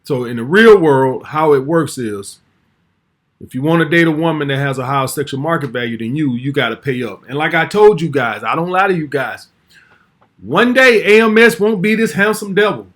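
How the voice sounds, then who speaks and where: Host in his 40s, high pitch, brash and profane, casual commentary. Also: a bit bright. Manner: blunt, brash, swears freely.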